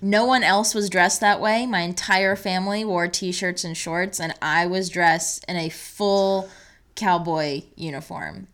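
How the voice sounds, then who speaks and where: A woman's voice: on the low side, steady tone, a little slow, fairly bright tone. A woman co-hosting a podcast in casual, enthusiastic, chatty conversation. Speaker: podcast co-host. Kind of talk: casual chat.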